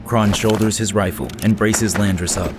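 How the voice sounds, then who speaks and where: A man's voice: on the low side, steady tone, moderate pace, background noise. A man giving scripted dramatic narration for a sci-fi audio drama. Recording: loud music behind the voice.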